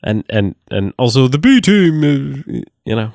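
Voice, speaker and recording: A man's voice: mid-range voice, unhurried, creaky, no room sound, studio quality. Nasal-sounding man hosting a movie podcast. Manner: animated, swearing, laughing often. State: sick and nasal. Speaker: podcast host. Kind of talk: casual movie review chat.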